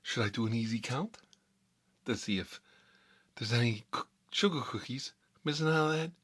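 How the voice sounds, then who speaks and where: A man playing a gruff, sardonic mob boss who rambles and repeats himself through mock threats, in an ASMR-style comic monologue with a husky voice. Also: mid-range voice, normal speed, a bit bright, close to an everyday voice. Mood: sarcastic, needling.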